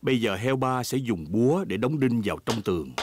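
A man's voice: medium pitch, expressive, brisk, noisy background, lightly acted. A man playing the friendly storyteller of a children's cartoon, explaining things simply in scripted narration.

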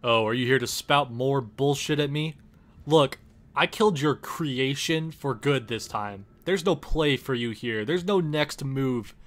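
A man's voice: mid-range voice, a little slow. A man doing comedic parody dubbing of a game, sarcastic, exasperated and sweary. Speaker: parody series creator voicing the player character. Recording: voice over game music.

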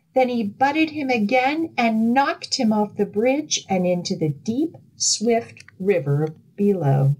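A middle-aged woman leading children's storytime, speaking expressively and deliberately in simple phrases for young children. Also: medium pitch, a little mellow, slightly rough, poor audio. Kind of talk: children's storytime reading and instruction.

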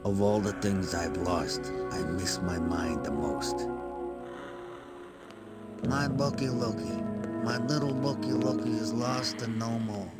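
An actor playing a dreamy, mad asylum patient in a game, rambling in singsong, childish rhymes. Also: male voice, lowish voice, slow pace. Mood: wistful. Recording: game audio with loud music.

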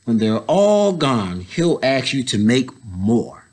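A man in his thirties reading a children's picture book aloud, pausing to add casual explanatory asides for young listeners. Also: mid-range voice, very animated, a little mellow. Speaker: story time reader, educator. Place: indoors, school library.

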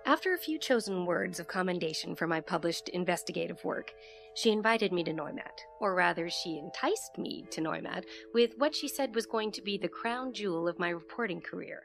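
A woman playing an inquisitive investigative reporter, recounting her case as measured scripted audio drama narration. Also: on the low side, expressive, vocal fry, a bit bright, faint music behind. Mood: measured, intrigued. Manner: measured, storytelling.

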